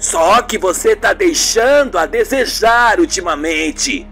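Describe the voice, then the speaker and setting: A performer voicing an exasperated, sharp-tongued young wife and mother, scornful and scolding, in an amateur radio soap. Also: medium pitch, lively intonation, slow, breathy edge, full character voice. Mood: scornful, angry. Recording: music bed under the voice.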